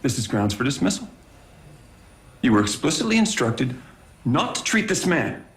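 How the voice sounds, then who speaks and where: A man's voice: mid-range voice, big pitch swings, normal speed. An actor playing a condescending, rule-bound male doctor who scolds his colleagues coldly. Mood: disdainful. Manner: cold, dismissive. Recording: echoey room, music behind.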